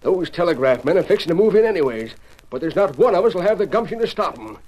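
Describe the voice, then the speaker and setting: Folksy, high-set Western ranch hand. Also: male voice, very animated, fast, darkish tone. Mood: mournful. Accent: American Western. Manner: drawling, folksy, dramatic. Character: folksy, emotional old-West ranch hand. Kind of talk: 1940s-50s Western radio acting. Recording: noisy vintage radio recording.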